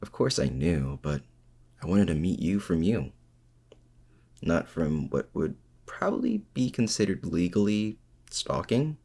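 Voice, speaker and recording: A man playing a feared but self-doubting supervillain, confiding casually and haltingly in a heroic rival in an intimate ASMR roleplay. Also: big pitch swings, mellow, exaggerated voice. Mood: wry, confiding. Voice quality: very deep, gravelly. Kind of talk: intimate ASMR roleplay audio drama, addressing the listener.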